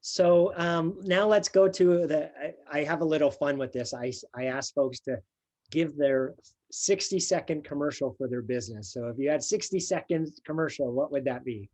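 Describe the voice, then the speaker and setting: A man's voice: a little high, moderate pace, darkish tone. Friendly man hosting an interview. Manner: friendly, encouraging, laughs along. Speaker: business coach, interview host.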